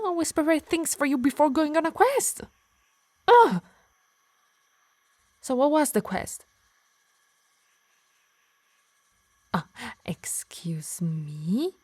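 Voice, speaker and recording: A woman's voice: on the high side, darkish tone, no echo, heavily acted. Doting, scolding harpy played by a woman, dry close sound. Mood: alarmed, scolding. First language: Italian. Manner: teasing, scolding, sighing. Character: possessive, doting, scolding female harpy healer. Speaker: ASMR roleplay performer. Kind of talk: ASMR roleplay acting.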